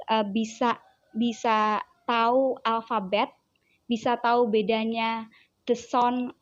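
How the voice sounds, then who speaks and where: A woman with a Javanese accent tells a story in a relaxed interview chat, and she slips into her child's voice as she talks. Her voice sits a bit high. The recording sounds dull and lo-fi. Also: slow. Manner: animated storyteller who acts out her child's words. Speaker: child psychologist guest.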